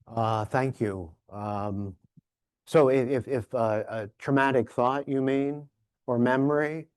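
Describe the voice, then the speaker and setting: Slow, halting male lecturer. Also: medium pitch, clear, resonant tone, dry recording, clean studio sound. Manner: halting, repeats words and emphasizes key phrases. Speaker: professor giving a keynote workshop. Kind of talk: conference lecture, reflective and informal.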